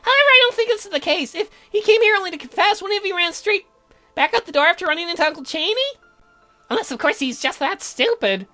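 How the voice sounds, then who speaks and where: A man's voice: extremely high pitch, animated, quick pace, pushed and bright, faint music behind, pitched above the performer's own voice, full character voice. A man voicing the gleeful, ghoulish, man-eating female cousins of a count in a horror game, with theatrical eagerness. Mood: prim, helpful.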